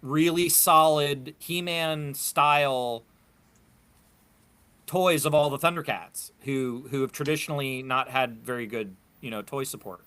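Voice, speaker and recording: Male panelist, crisp bright voice, informal fan talk with halting repeats. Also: mid-range voice. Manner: halting, repeating words mid-thought. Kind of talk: casual fan discussion of toys and comics.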